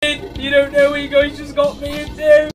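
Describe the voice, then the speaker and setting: A man voicing a hot-tempered, paranoid cartoon corn farmer who rants and yells accusingly. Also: extremely high pitch, monotone, normal speed, soft background music. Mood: furious. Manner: ranting, yelling, accusatory. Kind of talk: fan-made game voice lines.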